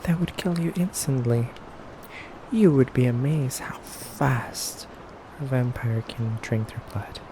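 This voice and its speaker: A man playing an effeminate vampire interrogator in an ASMR roleplay, speaking softly and deliberately with teasing, condescending menace and breathy pauses. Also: mid-range voice, background noise. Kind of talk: ASMR roleplay, soft intimate acting.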